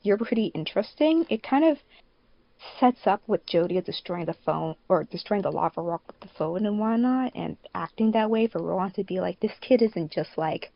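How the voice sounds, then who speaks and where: A woman's voice: medium pitch, lively intonation, moderate pace, gravelly, no echo, lo-fi sound. A woman in casual podcast chat as a co-host, peppering her sentences with "like". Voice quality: frequent "like" as a filler. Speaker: podcast co-host, fandub producer.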